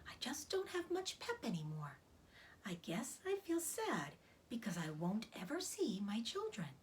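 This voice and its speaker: A woman voicing a weary, gentle, aging female spider from a children's storybook, speaking in a weak, soft half-whisper with quiet pride. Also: fairly high pitch, huge pitch swings, slight background noise. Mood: sad, tired. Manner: measured, subdued. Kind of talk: children's storybook read-aloud.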